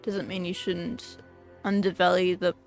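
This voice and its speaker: A woman streaming a video game, commenting and haltingly reading dialogue aloud. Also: fairly low pitch, flat delivery, creaky. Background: game music.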